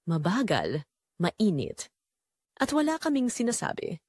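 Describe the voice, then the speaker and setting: A woman's voice: mid-range voice, wildly swooping pitch, a little slow, a little mellow, husky, no echo, clean studio sound. A woman with a Filipino accent reading a scripted first-person story as the narrator of an audio drama.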